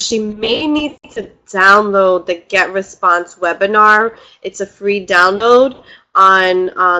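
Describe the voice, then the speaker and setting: A bright, forceful woman's voice, sounding stuffed up from a cold, with an unhurried way of speaking. She hosts a live online class from home on her computer mic, chatty and bubbly, laughing as she greets people by name. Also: mid-range voice, poor audio. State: congested from a cold. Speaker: webinar host and spiritual teacher. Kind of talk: casual live webinar talk. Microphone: computer mic on a video call.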